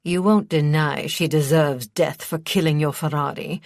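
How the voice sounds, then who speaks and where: A woman's voice: deep voice, fairly even intonation, normal speed, darkish tone, no room sound, studio quality. A woman voicing a stern, icy lady of authority in an audiobook, blunt and imperious.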